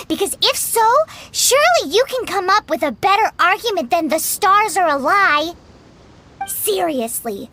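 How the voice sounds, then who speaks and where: A woman voicing a tiny, perky, cheeky childlike fairy companion in an anime video game, squeaky and exclamatory. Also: a little hiss or hum, exaggerated voice. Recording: loud game music behind. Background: game music.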